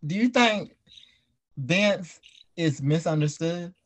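A man's voice: a little high, lively intonation, slow. A man hosting a casual group interview, speaking in an informal, chatty way. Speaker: host and audio drama writer.

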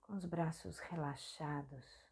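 A Brazilian woman hosting a guided meditation, speaking softly and breathily in short, soothing phrases. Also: sits low, takes it slowly, husky. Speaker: guided meditation podcast host.

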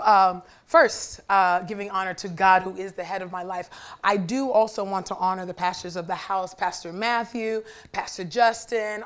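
Raspy young woman preaching in church. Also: mid-range voice. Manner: chatty and warm with the congregation, asking for responses. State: hoarse from a weekend of talking. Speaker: guest preacher. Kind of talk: sermon with prayer. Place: church sanctuary.

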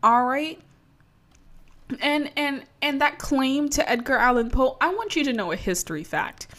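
A woman's voice: sits high, lively intonation, measured pace, fairly bright tone. Profane sarcastic woman with a slight Baltimore accent. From Maryland. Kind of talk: comedic rant to camera.

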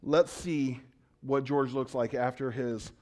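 A man preaching a sermon in a conversational way, asking his congregation questions and swinging between loud, urgent lines and hushed ones. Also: mid-range voice, steady tone, slow pace, noisy background. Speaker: pastor.

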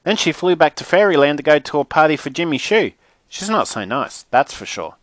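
A man puts on a little girl for a children's audiobook. The voice is high and very animated, full of bold childish exclamations. She comes across as defiant and fanciful, and the sound is dry and close. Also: fairly quick, dry recording. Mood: chatty, pleased.

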